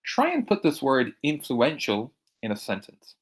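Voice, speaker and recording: A man from Ireland with an American-sounding accent teaching English vocabulary, repeating each word slowly and clearly for learners. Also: mid-range voice, wildly swooping pitch. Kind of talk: recorded vocabulary lesson.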